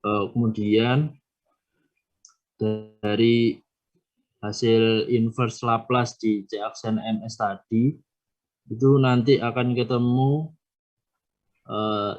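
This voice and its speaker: Slow, halting young man presenting. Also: medium pitch, flat delivery, a little mellow, muffled recording. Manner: halting, many filler hesitations. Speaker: student presenting a solution. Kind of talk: classroom presentation.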